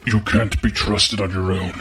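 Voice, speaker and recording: An actor playing a coldly imperious, menacing crime-league master in an audio drama, speaking formally and with threat. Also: male voice, on the low side, level tone, moderate pace, bright, forceful tone. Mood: commanding. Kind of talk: audio drama villain acting.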